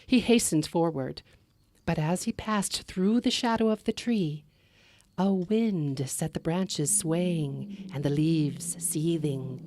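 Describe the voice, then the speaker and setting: A woman telling a story live, narrating in an expressive, measured way with dramatic delivery. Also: deep voice.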